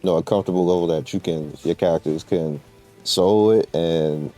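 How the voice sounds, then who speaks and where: A man's voice: deep voice, normal speed, warm tone, soft background music. A man giving casual, informal gameplay commentary, rambling through his explanations in slangy language as he guides viewers. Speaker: gamer presenting a game guide.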